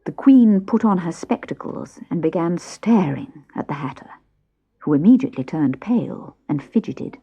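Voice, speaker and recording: A woman's voice: fairly low pitch, lively intonation, moderate pace, mellow, breathy edge. A woman narrating a children's storybook expressively. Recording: dull cassette transfer with music. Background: music.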